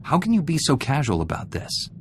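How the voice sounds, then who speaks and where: An actor playing a composed, dryly witty heroic warrior knight in a video game. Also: male voice, fairly high pitch, huge pitch swings, slight background noise. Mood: dry, wry. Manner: measured, dry, wry.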